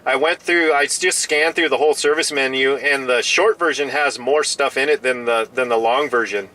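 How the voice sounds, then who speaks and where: A man's voice: mid-range voice, steady tone, moderate pace, bright, forceful tone, poor audio. A man chatting casually as a radio hobbyist, often restarting and repeating his phrases.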